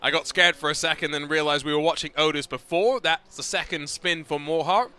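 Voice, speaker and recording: A man's voice: on the high side, bright, forceful tone, clean tone. A man commentating a sim race, chatting and joking with his co-commentator. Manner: chatty, joking, quick-witted. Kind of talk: live race commentary chat. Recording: noisy commentary mic.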